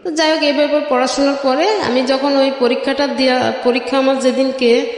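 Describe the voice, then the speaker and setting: A woman's voice: sits high, fairly even intonation, brisk. A middle-aged Bangladeshi woman telling her life story in an interview, step by step, with frequent filler phrases. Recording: very echoey, long reverb.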